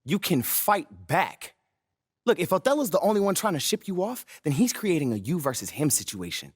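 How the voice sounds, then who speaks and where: A man's voice: on the high side, big pitch swings, normal speed, breathy edge, no echo, clean studio sound. A man playing a persuasive, scheming adviser in a video game scene, coaching with natural but emphatic delivery.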